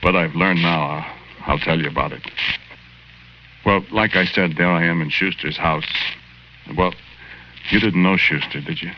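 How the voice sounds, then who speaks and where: A man's voice: low voice, normal speed, pauses often, a little hiss or hum, lightly acted. An actor playing the naive, friendly ghost of a murdered small-time guy, telling his story in folksy, ungrammatical 1940s radio drama style. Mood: chatty, sheepish. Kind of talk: 1940s radio drama acting, first-person narration. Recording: dull old 1940s radio recording with music.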